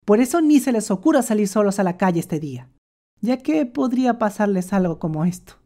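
Woman narrator for a cartoon; sardonic, joking, warm low tone. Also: lively intonation, a little fast. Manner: sarcastic, playful asides. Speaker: animator narrator. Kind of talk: comic scripted narration.

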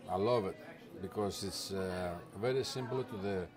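A man's voice: low-pitched, normal speed, a crowd in the background. An older restaurant owner from Greece, a man with a Greek accent, talking casually in an interview.

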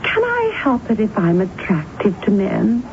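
A woman's voice: mid-range voice, huge pitch swings, a little slow. An actress playing a vain, pushy, flirtatious married woman with a breezy, theatrical manner in a radio drama. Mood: breezy, self-pleased. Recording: dull, noisy 1970s radio recording.

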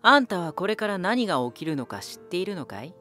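An actor playing a proud, ominous lighthouse spirit hardened by war, deliberate, cryptic and condescending, in a dramatic voice drama. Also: male voice, high-pitched, sing-song, bright, forceful tone, soft background music, full character voice. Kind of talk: dramatic Japanese voice drama acting.

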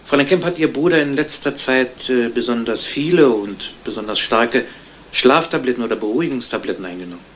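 A man's voice: medium pitch, brisk, fairly bright tone, a little hiss or hum, close to an everyday voice. An actor playing a police detective in a radio crime drama, matter-of-fact, politely probing with his questions. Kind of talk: 1970s German radio crime drama acting.

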